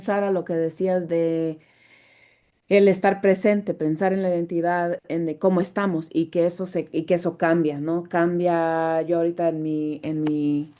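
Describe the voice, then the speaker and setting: A woman's voice: lowish voice, mellow. A woman who teaches voice, speaking thoughtfully on a video call, searching for her words and restarting often.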